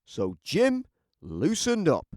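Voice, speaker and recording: Guy reading a kids story, lowish voice, takes his time, super expressive, dry close sound. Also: slow pace, dry recording. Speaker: storybook narrator. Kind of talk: animated children's read-aloud narration.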